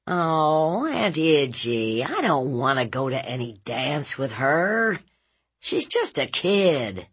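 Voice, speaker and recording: A woman's voice: low voice, big pitch swings, slow pace, husky, exaggerated voice. A woman voicing a sullen, evasive seventeen-year-old boy in a story, giving short, defensive answers. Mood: sulky excuses.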